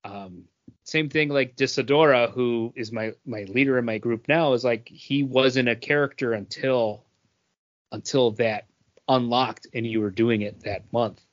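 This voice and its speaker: A man's voice: mid-range voice, measured pace. A man talking casually about games as a podcast co-host, on a slightly dull recording.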